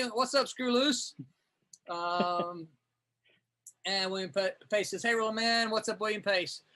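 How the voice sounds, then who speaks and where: Bright, forceful man hosting casually. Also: sits high. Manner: chatty, reads out viewer comments. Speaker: host, comics writer.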